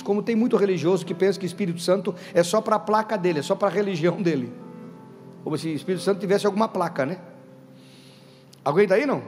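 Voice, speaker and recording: High-voiced preaching man in a hall. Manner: emphatic, calls out to the congregation; builds to loud stressed bursts. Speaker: pastor. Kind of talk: Pentecostal sermon. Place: church hall. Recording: reverberant hall with faint background music.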